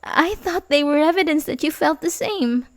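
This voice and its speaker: A woman playing an obsessive, manipulative young babysitter in love in an ASMR roleplay, halting and pleading with soft menace. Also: sits high. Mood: insistent, pleading. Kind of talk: ASMR roleplay audio drama, addressing the listener.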